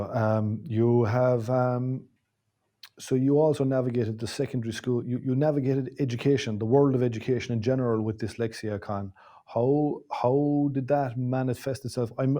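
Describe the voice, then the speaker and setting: A man's voice: mid-range voice, mellow. An Irish man hosting an interview, probing and rephrasing his questions as he goes. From Ireland. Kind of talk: conversational interview.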